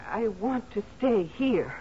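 A sorrowful, devoted housekeeper speaks with earnest, imploring intensity. Her pitch is medium for a woman. The radio mystery recording is dull, with a little noise underneath. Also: lively intonation, slow, warm tone. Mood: pleading, intense. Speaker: actress in a radio mystery drama. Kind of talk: 1970s radio drama acting. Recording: muffled, hissy old radio broadcast.

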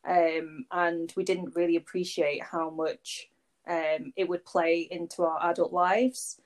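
Lady rambling away on a podcast, lots of like and um, bit of a low voice. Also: steady tone, slow pace. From Warrington, England. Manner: chatty, rambling, many fillers and restarts. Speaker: podcast guest, agency founder. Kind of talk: casual conversational interview.

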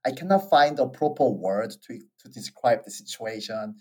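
This interviewer speaks English with a Korean accent at a medium pitch. Their sentences run long and roundabout, and the delivery is soft. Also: expressive, normal speed, slightly rough. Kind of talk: casual interview conversation.

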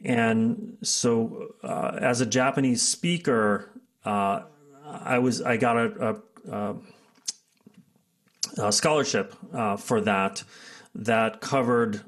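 A man's voice: medium pitch, steady tone, slow pace. Middle-aged new guy telling his story, lots of ums and likes, kinda rambly. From United States. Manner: rambling, many fillers and restarts, laughs often. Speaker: guest. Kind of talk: casual interview storytelling.

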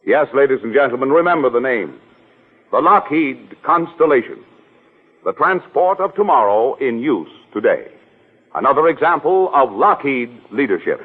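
A man announcing in 1940s radio style, reading a script with measured emphasis. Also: medium pitch, sing-song, husky, narrow, tinny audio.

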